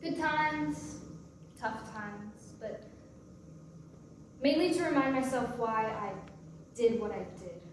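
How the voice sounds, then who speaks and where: A guarded, sardonic young divorced mother, played by a woman in a staged play reading. Her voice sits fairly high and comes out wry and halting. She is heard from far off in a very echoey room. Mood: hesitant, regretful. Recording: distant, very echoey room with faint noise.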